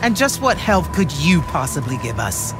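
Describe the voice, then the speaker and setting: A woman's voice: low-pitched, big pitch swings, moderate pace, music in the background. A woman playing a stern, impatient military commander in a video game, authoritative and clipped with a sardonic edge. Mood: cold, impatient.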